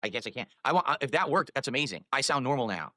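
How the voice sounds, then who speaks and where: A man's voice: medium pitch, expressive, rapid-fire, bright, forceful tone, no room sound, clean studio sound. A man live-streaming, rambling while he fiddles with his microphone and audio settings.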